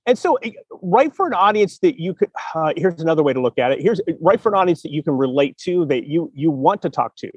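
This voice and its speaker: A man's voice: fairly high pitch, big pitch swings, quick pace, few pauses, slightly rough, no echo, lo-fi sound. A man hosting a podcast, giving earnest, encouraging writing advice in a casual chat and repeating phrases for emphasis. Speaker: podcast host, writing coach.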